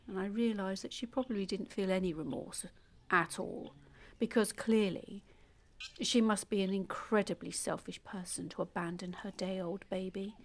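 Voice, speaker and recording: Actress as a hushed, obsessive woman over birdsong. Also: medium pitch, slight background noise, lightly acted. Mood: bitter, resentful. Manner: confiding, measured, often hushed and breathy. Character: calm, obsessive, delusional woman abandoned as a baby, secretly murderous. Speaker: actor in a monologue. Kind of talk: intimate dramatic monologue, audio play.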